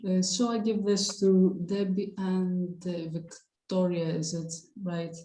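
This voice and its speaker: A woman on a video call handing out tasks to a team, halting and encouraging, with frequent "uh"s. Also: on the low side, little pitch movement, dark tone, reverberant. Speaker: facilitator assigning issues. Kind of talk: online meeting.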